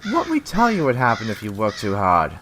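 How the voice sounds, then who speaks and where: Animated, sensible railwayman, played by a man. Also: lowish voice, a little fast, slight background noise. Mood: scolding. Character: sensible, mildly scolding steam engine crewman. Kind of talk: children's audio drama acting.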